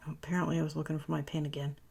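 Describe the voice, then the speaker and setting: A woman narrates a craft tutorial in a loose, chatty way, often correcting herself. Her voice is raspy and worn after a long day of talking. It has a heavy gravelly fry and a husky edge. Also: sits low, level tone, rapid-fire, a little mellow. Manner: rambling, self-correcting, chatty. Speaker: papercraft tutorial host. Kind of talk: casual voice-over tutorial.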